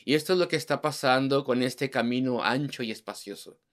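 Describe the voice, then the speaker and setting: A man's voice: mid-range voice, brisk. A man preaching an evangelistic sermon, driving his points home with rhetorical questions and repeated words in lists.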